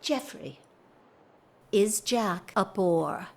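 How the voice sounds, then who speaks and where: A woman's voice: mid-range voice, lively intonation, very slow, vocal fry, full character voice. A woman playing a restless, bored aristocratic wife in a period audio drama, theatrical and wry, with emphatic swells. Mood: wry complaint. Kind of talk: period drawing-room audio drama acting.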